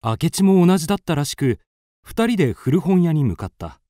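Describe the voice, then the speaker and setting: A man's voice: on the high side, very animated, normal speed, mellow, dry recording, studio quality. An actor playing a curious man in his twenties who narrates a mystery radio drama. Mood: brooding, measured.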